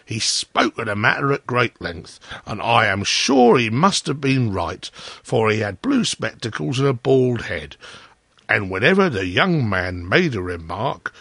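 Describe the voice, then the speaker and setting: A man's voice: mid-range voice, gravelly, a bit bright, slightly rough, no echo, heavily acted. A man voicing a pompous, self-satisfied, opinionated water rat in a storybook, lecturing as he talks. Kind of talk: storybook character voice.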